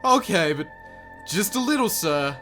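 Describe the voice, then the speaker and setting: A man's voice: sits high, big pitch swings, unhurried, pushed and bright, faint music behind, heavily acted. A man playing a timid, nervous young employee in a comedy sketch, whiny and hesitant as he pleads. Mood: nervous, reluctant. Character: timid, nervous young man working under a scary boss.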